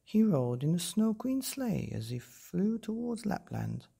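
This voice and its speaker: Cast: cooing dove, as a man puts it on, raised pitch, husky. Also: very animated, a little slow, warm tone, heavily acted. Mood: eager.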